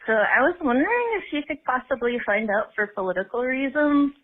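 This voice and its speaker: Husky voice on a phone voicemail. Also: medium pitch, a little fast, narrow, tinny audio. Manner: hesitant, filled with "like". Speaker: caller. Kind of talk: voicemail question.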